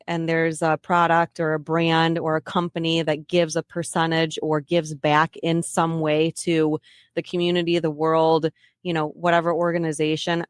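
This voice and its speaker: A woman's voice: on the low side, no echo, clean studio sound. A woman hosting a podcast interview in a casual, chatty way, often restarting her phrases. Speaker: podcast host.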